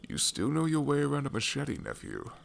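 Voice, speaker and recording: A jovial old fantasy wanderer, acted out by a man, talks in a theatrical, teasing way with a gravelly edge. His delivery swings widely in pitch, over faint night noise with crickets. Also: huge pitch swings, fairly quick, full character voice. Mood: teasing, hearty. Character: hearty old uncle, teasing, hospitable leader of wanderers. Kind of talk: fantasy audio roleplay.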